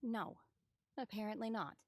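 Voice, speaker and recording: A woman playing a cool, aloof, quietly dangerous young woman in a video game, measured and detached, with breathy sighs. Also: medium pitch, fairly even intonation, heavy background noise, natural voice.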